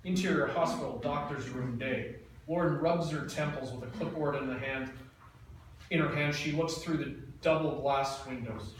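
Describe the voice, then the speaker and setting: A man reading screenplay stage directions in a steady, plain delivery. His voice sits a little high and sounds distant, bathed in heavy room echo with light hiss. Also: moderate pace. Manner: plain, even reading with small stumbles. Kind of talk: reading screenplay action lines. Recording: echoey room, mic from afar, faint noise.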